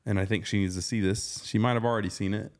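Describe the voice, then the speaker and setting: A man's voice: lowish voice, fairly even intonation, fast talker. A man co-hosting a podcast, chatting in an easygoing way and laughing often. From American South. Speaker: podcast co-host. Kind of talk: casual chat.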